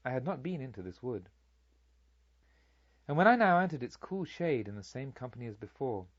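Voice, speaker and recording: A man reading a literary story aloud as an audiobook narrator, in a measured, formal storytelling manner. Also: mid-range voice, no echo, lo-fi sound.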